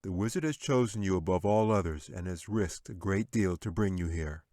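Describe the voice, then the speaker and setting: Guy doing a calm male angel in a fantasy audiobook, very low, formal and reassuring. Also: normal speed, no echo, studio quality, lightly acted. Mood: probing. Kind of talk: fantasy audiobook character acting.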